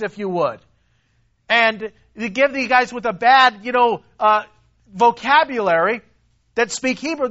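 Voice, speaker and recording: A man preaching a sermon to a congregation, emphatic and repetitive, swinging between loud stresses and quiet asides. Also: high voice, highs cut off. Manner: emphatic, repeats himself, rises and drops in volume. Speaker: pastor.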